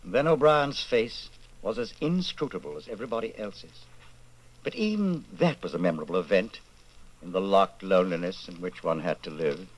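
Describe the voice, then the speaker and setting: Low, dramatic male radio narrator. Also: lively intonation, rough recording. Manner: ominous, deliberate phrasing.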